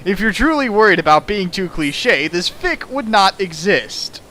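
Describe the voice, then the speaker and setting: Lively, bright-voiced sarcastic man. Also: high-pitched, unhurried. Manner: sarcastic, mocking. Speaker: host of a fan fiction dramatic reading. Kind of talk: casual riffing commentary, reading text aloud.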